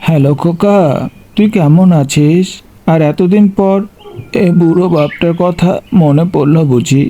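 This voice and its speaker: Man playing a plaintive old village father, dark mellow voice. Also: on the high side, measured pace, a little hiss or hum, close to an everyday voice. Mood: moved. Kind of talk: cartoon story voice acting.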